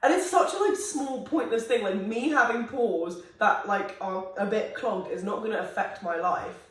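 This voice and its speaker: A young woman with a deep voice chats frankly on a podcast, laughing easily. She sits well back from the mic. The room rings with a strong echo. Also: moderate pace. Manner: frank, laughs often, chatty. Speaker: podcast co-host. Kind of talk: casual chat. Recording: echoey room, far from the mic.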